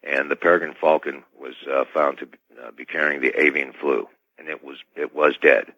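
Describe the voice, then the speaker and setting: A man speaking as a guest in a radio interview, choosing his words carefully and formally, with hesitant, measured phrasing. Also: very deep voice, flat delivery, no room sound. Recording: dull band-limited radio line.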